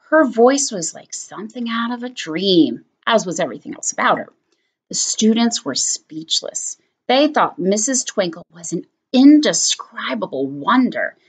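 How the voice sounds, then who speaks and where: Elementary teacher narrating a picture book, lively and expressive. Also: female voice, fairly high pitch, normal speed, husky. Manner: expressive, animated storytelling. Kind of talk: reading a picture book aloud.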